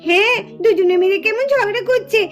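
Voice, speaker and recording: A woman voicing eager, playful small children in a puppet cartoon, pleading and repeating themselves excitedly. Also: extremely high pitch, brisk. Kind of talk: sing-song children's puppet cartoon acting.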